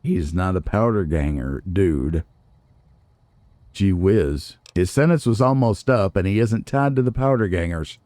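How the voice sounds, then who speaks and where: Man, low mellow voice, very varied intonation, playful theatrical let's play host, casual. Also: expressive, a little slow. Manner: playful, theatrical, talks back to game characters. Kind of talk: casual gameplay commentary.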